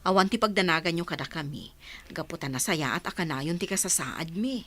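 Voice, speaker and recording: A woman's voice: lowish voice, animated, fairly quick, a bit bright, no room sound. An actress playing an evasive, guilt-ridden woman in a radio drama, speaking in a hesitant, emotional way. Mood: evasive, uneasy.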